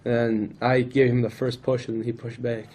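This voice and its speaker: A teenage male student acting an aggrieved high schooler, talking in a halting, casual, slangy way. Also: flat delivery, darkish tone, lightly acted. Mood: indignant, flat. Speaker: student actor in a peer mediation dramatization. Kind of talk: acted school role-play dialogue. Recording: noisy room ambience.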